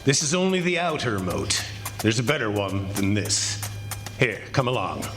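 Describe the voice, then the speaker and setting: A man playing a smug, confident and domineering plantation owner in a 1940s-style radio drama, with a brisk, commanding manner. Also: fairly low pitch, big pitch swings, a bit bright, soft background music. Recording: noisy stage recording with clicks.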